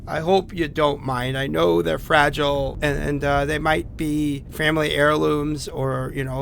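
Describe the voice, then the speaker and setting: An actor playing a sick, isolated young man who leaves halting, anxious, apologetic voicemails in a horror audio drama. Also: on the high side, vocal fry, fairly bright tone, a little hiss or hum. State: feverish, coughing.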